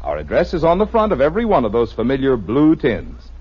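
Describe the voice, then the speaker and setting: A man announcing in the style of a 1950s radio advertising pitch, with a husky voice. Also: huge pitch swings, quick pace. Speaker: commercial announcer. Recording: muffled vintage radio recording.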